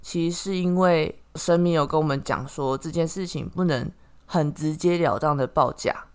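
This is a podcast host with a Taiwanese Mandarin accent and a medium-pitched voice. The talk is casual and reflective, with points explained at length. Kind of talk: casual chat.

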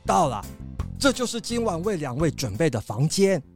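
A man's voice: fairly high pitch, sing-song, normal speed, over music. A man playing a fawning, nervous capybara butler in a children's mystery audio drama. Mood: fawning, cheerful. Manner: obsequious, formal, stammering when evasive.

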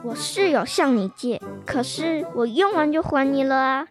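A woman's voice: high-pitched, animated, moderate pace, music in the background. A schoolgirl acting a whiny, indignant young sister in a children's radio drama. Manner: whiny, protesting.

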